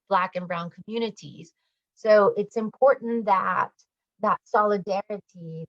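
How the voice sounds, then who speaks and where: A woman speaking as a campaigner and radio guest, giving earnest interview answers on slightly dull audio. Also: lowish voice.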